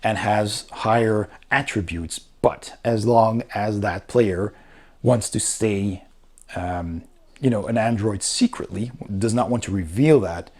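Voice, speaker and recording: A man's voice: fairly low pitch, slow, darkish tone. A man giving a casual spoken review, explaining things informally as he walks through a rulebook. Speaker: board game reviewer.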